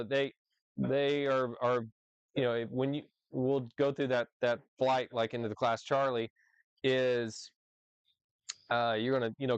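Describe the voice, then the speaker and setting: A man's voice: medium pitch, clear, resonant tone, no echo, highs cut off. A man teaching informally as an instructor, reading out rules and explaining them conversationally, often checking in with "right?". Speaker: flight instructor leading a discussion.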